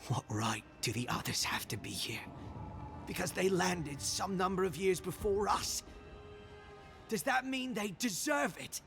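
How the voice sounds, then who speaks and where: Gravelly, bitter dying imperial loyalist. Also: male voice, high-pitched, animated, measured pace, fairly bright tone, soft background music, full character voice. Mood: bitter, defiant. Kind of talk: dramatic video game cutscene acting.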